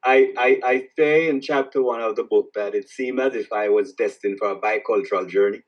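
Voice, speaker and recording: A man from Jamaica with a Jamaican accent, an author answering interview questions in a reflective, halting way that repeats words as he starts each thought. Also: on the low side, distant mic, dull audio. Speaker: author guest.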